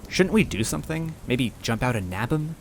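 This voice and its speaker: A man voicing an excitable, eager young female sidekick in a story, speaking urgently. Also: mid-range voice, moderate pace, a little hiss or hum, pitched above the performer's own voice, natural voice. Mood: eager, excited.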